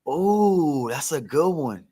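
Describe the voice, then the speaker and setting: A man's voice: a little high, lively intonation, slow, dark tone, husky. A man in casual sports talk, telling stories like a former player and repeating phrases for emphasis. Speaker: former pro football player, guest storyteller.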